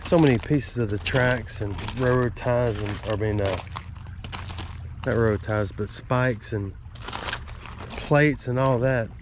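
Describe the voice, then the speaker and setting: A man's voice: mid-range voice, slow, pauses often, noisy background. A man with a Southern accent and a stuffed-up nose, narrating casually and rambling in a folksy, self-amused way while wading through a creek. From Alabama. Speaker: creek explorer vlogger. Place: outdoors wading in a city creek.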